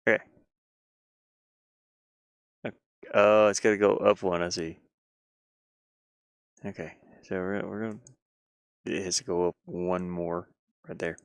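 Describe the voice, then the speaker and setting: A man's voice: sits low, fairly even intonation, a little slow, long pauses, smooth, no echo, studio quality. A man talking himself through his work in a casual think-aloud commentary, muttering playfully. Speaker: game developer streaming his pixel art work.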